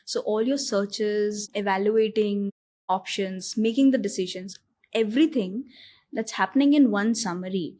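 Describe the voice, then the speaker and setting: A woman of middle pitch hosts the conversation, setting up each question with context before asking it. She checks in often with a quick "right?". Her delivery is steady and conversational. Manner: framing questions with tag "right?". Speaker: interviewer. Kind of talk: interview questions.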